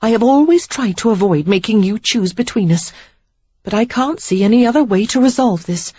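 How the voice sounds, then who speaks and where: Indignant young woman on the verge of tears, read by a woman, voice pitched up, a touch husky. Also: lively intonation, normal speed, mellow, lo-fi sound. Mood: pleading, resolute. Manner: pleading, emphatic, near tears. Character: young woman, frustrated and resolute suitor.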